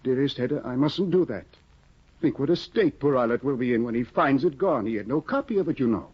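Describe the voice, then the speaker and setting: An actor playing an excitable, fussy young scholar husband in a 1950 radio drama, flustered and exclaiming, on a dull old broadcast recording. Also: male voice, medium pitch, fairly quick, breathy edge. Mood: fretful. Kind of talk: 1950s radio drama acting.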